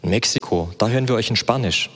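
A man's voice: medium pitch, quick pace, fairly bright tone, husky. A man interpreting a sermon consecutively, fluent but with frequent hesitation sounds. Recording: reverberant hall.

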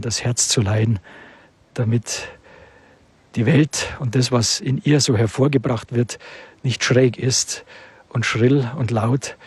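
Man, bishop, very husky rough voice, solemn, measured phrases, devotional talk, dry sound. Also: medium pitch, a little mellow, dry recording. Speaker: auxiliary bishop. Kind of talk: devotional interview talk and blessing.

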